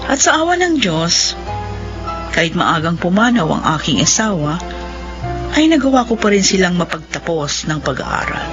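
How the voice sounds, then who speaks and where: An actress playing Angela, a widowed mother pleading gently with her grown children. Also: lowish voice, big pitch swings, normal speed. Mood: wistful. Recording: muffled radio drama audio with music.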